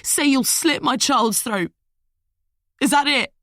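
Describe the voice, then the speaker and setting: A woman's voice: medium pitch, expressive, no room sound, clean studio sound. A woman voicing a furious captive young man. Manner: raw, shouting, slow and bitter.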